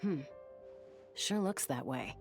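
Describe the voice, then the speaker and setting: A cool, confident gunslinger, with a low voice and wry edge. Her casual game dialogue has plenty of melodic movement over soft music. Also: very animated, slow pace, vocal fry, full character voice. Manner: wry, casual, self-assured. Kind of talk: video game character acting.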